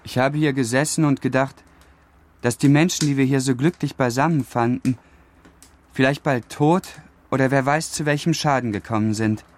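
Male, young adult, medium pitch: actor as charming, haughty, brooding gentleman for period radio drama, worldly nonchalance. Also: normal speed, natural voice. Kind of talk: literary radio drama dialogue.

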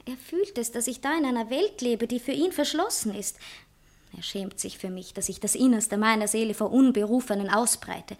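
A woman's voice: fairly high pitch, animated, moderate pace. An actress playing a proud, sentimental woman novelist, dramatic and self-justifying in a satirical stage play. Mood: defensive, sentimental. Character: proud, sentimental woman novelist torn between two lovers. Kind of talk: stage satire acting in literary German.

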